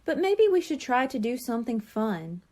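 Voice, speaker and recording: A woman's voice: fairly high pitch, big pitch swings, normal speed, darkish tone. A woman voicing a spirited, emphatic young mer-kitten girl, an upbeat friend in a storybook.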